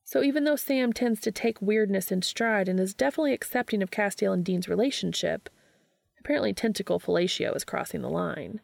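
A woman reading fiction aloud as an expressive scripted narration. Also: medium pitch, brisk, creaky, dry recording, clean studio sound. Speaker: fan fiction reader.

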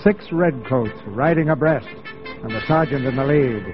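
A man's voice: medium pitch, moderate pace, lightly acted. A man narrating a 1940s radio drama in a formal, portentous style. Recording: dull vintage radio, music underneath.

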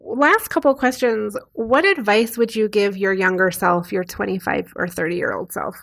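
A woman's voice: mid-range voice, normal speed, vocal fry, a bit bright. A woman with an American accent hosting a casual, conversational podcast interview. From United States.

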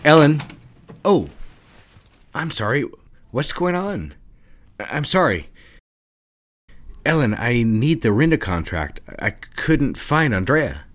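A man's voice: mid-range voice, very animated, takes it slowly, long pauses. An actor playing a stern, controlling male advertising executive, clipped and commanding. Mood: flustered. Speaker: boss at an advertising firm. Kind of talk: audio drama acting.

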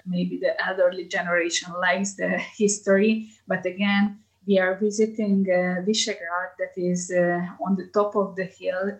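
Hungarian-accented woman, flat low voice. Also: rough recording. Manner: many hesitation fillers, chatty. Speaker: tour guide. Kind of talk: interview answers, casual.

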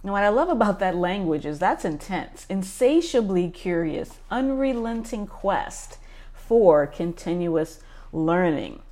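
A woman's voice: on the low side, sing-song, measured pace, a little mellow. A woman hosting a book club podcast, talking through a list in a casual, enthusiastic way with frequent ums.

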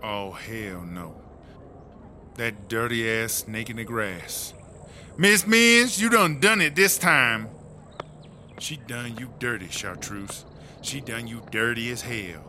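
A man's voice: medium pitch, slow, background noise, natural voice. A man playing Chartreuse, a brash, foul-mouthed, dramatic female prison inmate, in a slangy, exclaiming drawl for a comedy audio drama. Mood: bitter. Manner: slangy, melodramatic, grumbling.

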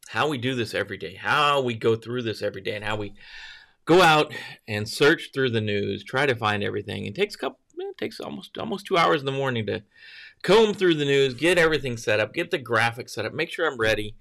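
Gravelly-voiced man hosting a morning stream. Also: mid-range voice, fairly bright tone. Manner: rambling, greets viewers by name, self-correcting. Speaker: livestream host. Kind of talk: casual morning livestream chat.

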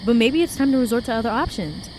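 A young woman playing an anxious, wisecracking hiker in an audio drama, talking in a slangy, expressive, playful way. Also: on the high side, quick pace, slight background noise, lightly acted. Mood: exasperated. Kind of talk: naturalistic audio drama acting.

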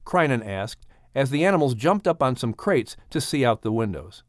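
Lively male audiobook storyteller, close and dry. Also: medium pitch, moderate pace, no room sound. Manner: expressive, theatrical delivery of a children's adventure. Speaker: audiobook narrator. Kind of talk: dramatic scripted storytelling.

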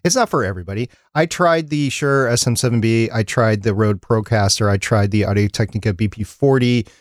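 A man co-hosting a podcast, calmly explaining at length in casual chat, with a bright voice on a studio mic. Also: lowish voice, brisk, no room sound. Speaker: podcast co-host. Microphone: studio dynamic mic.

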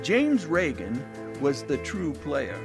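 A man's voice: fairly high pitch, wildly swooping pitch, slow, vocal fry, loud music behind the voice, heavily acted. A man playing a boozy, boastful old-timer sports reporter in a 1920s period audio drama, with a grandiose, blustery way of telling stories. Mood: boastful storytelling.

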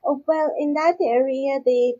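A woman from Pakistan with a husky voice, answering interview questions conversationally in a halting way, repeating words as she goes. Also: sits high, fairly even intonation, dark tone. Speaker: guest, agricultural research scientist. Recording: dull, lo-fi audio.

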